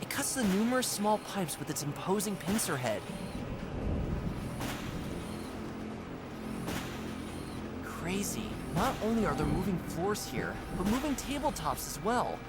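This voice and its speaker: An actor voicing a curious, plucky young animal hero in a cartoon adventure game, with expressive, wry, dramatic delivery over loud music. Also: mid-range voice, moderate pace, hardly any pauses, natural voice. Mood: uneasy wonder. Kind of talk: cartoon adventure game voice acting, observational monologue.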